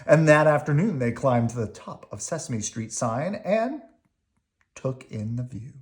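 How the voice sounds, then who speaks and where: A woman reading a picture book aloud as an expressive narrator for children. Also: a bass voice, unhurried. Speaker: storybook reader.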